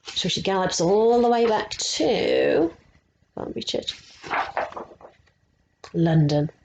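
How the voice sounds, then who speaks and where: A woman's voice: medium pitch, wildly swooping pitch, slow, vocal fry, muffled recording, noisy background. A woman storyteller reading a picture book aloud to children, adding explanatory asides and putting questions to her young listeners. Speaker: resident story teller.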